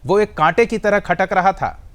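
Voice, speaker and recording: Indian male news anchor, slightly high voice. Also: normal speed, fairly bright tone. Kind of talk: live news interview questioning.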